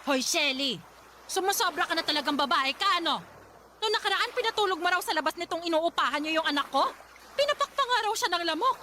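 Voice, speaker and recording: A woman's voice: very high-pitched, lively intonation, fast, bright, forceful tone, slight background noise, full character voice. A woman playing a hot-tempered, overprotective middle-aged mother-in-law in a Filipino radio drama, scolding and taunting. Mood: angry scolding.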